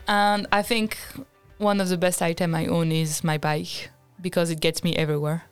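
Young woman from France, native French, low smooth voice, faint music. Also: flat delivery, normal speed. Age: young adult. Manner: thoughtful, lists examples with fillers. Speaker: guest, design student. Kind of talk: casual podcast chat.

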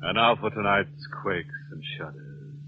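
An actor playing a ghoulish, mock-cordial horror host with macabre relish on an old radio show. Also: male voice, sits low, animated, music in the background. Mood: ominous relish. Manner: slow, drawn-out, chuckling, swooping. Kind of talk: 1940s radio horror hosting. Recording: tinny, noisy old radio recording.

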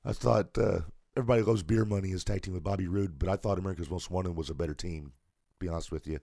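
Hoarse man with a gravelly low voice. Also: fast, no room sound, clean studio sound. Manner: relaxed, reads off a list of dates. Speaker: podcast co-host. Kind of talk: casual wrestling chat.

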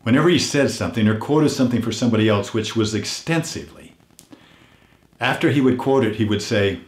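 An older man hosting a weekly motivational message, earnest and unhurried, his voice a little hoarse as if he is losing it, often dropping to a hush on heartfelt points. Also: medium pitch, roomy sound. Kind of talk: reflective motivational talk.

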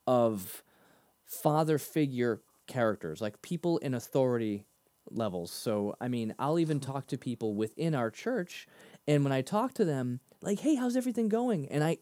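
A man co-hosting a casual faith chat, lively in pitch and quick to laugh. He tells personal stories with animated asides. The sound is dry and close to the mic. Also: dry recording. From Boston area. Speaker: podcast co-host.

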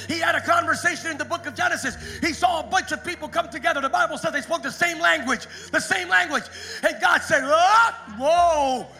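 A man with a high, gravelly voice preaches a sermon, swinging between hushed storytelling and full-throated shouting. Music plays clearly behind him throughout. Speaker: pastor. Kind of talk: Pentecost sermon.

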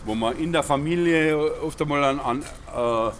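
A middle-aged or older man, answering as a farmer in an interview, haltingly and repeating words. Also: a little high, slight background noise.

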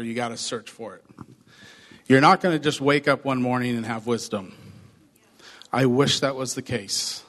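A man with a hoarse voice, pastoring a church, giving chatty announcements and a short sermon to his congregation. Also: medium pitch, fairly bright tone. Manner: upbeat, chatty, addresses congregation directly. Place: church sanctuary. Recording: long reverb of a church hall.

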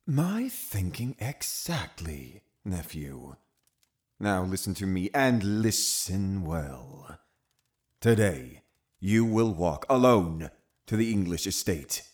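An actor playing a cunning, zealous Puritan uncle in an audio drama, commanding and condescending with deliberate phrasing. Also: male voice, low-pitched, sing-song, darkish tone, heavily acted. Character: cunning, zealous Puritan uncle, a menacing witch-hunting authority. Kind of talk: full-cast audio drama acting.

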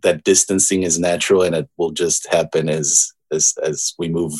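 Deep-voiced man in his forties from Brazil. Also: little pitch movement, darkish tone, breathy edge. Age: 40s. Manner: thoughtful, halting, with many restarts. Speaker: guest composer. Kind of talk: casual interview conversation.

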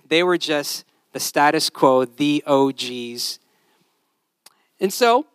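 High-voiced man preaching conversationally. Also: fairly bright tone, no room sound, studio quality. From Honduras. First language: Spanish. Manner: conversational, tag questions. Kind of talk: sermon.

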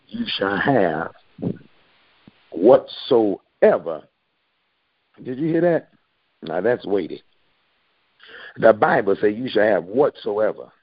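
A low-voiced man preaching to his congregation over a phone call, leaning on old-style scripture with emphasis. The sound is dry and dull, with no room around it. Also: dry recording. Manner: preacherly, quotes King James scripture with emphasis. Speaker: pastor and prophet.

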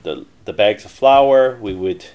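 Deep-voiced middle-aged man, rambling. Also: animated, a little slow, darkish tone. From Canada. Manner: rambling, many fillers and restarts. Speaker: interview guest, entrepreneur. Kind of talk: casual interview storytelling.

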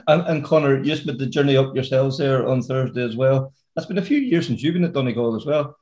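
A man's voice: medium pitch, normal speed, a little mellow. A man hosting a podcast in a chatty, upbeat way with plenty of fillers. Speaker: podcast host. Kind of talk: casual podcast chat.

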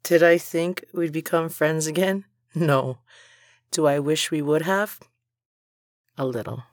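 An actor in an intimate audio drama playing a plain-spoken, quietly sentimental young childhood friend. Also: mid-range voice, slow pace, no echo, clean studio sound. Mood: wistful.

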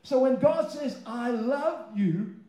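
High-pitched male preacher in an echoey room. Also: dark tone. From born abroad, moved to Australia aged nine. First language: not English; English is a second language. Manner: emphatic, stretching key words, then quick informal asides; rhetorical questions to the listeners. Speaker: pastor preaching. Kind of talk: sermon to a live congregation. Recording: roomy, echoing live sermon sound.

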